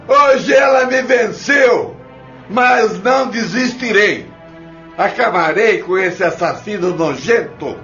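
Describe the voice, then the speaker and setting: Audio drama casting: hateful tormenting spirit, menacing, sharp and extremely high-pitched, slow delivery. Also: male voice, expressive, soft background music, heavily acted. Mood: menacing fury. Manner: menacing, shouted, slow threats. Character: vengeful, hate-filled spirit of a man, tormenting his victim. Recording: dull lo-fi audio drama recording.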